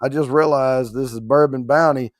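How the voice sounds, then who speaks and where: Livestream host, male, mid-pitched, hoarse and strained, rambling folksy chat, keeping his volume down. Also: fairly even intonation, normal speed, no echo, studio quality. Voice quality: rough, strained voice. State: hoarse, worn out after allergy attack. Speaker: host of a whiskey giveaway livestream.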